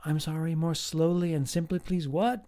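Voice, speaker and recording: A man, a professor, giving a slow, emphatic recorded lecture with playful asides. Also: fairly high pitch, fairly even intonation, mellow.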